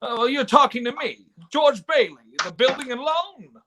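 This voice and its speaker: A man's voice: very high voice, animated, normal speed, noisy background. A man acting as an earnest, hesitant young small-town man in a radio play. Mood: astonished.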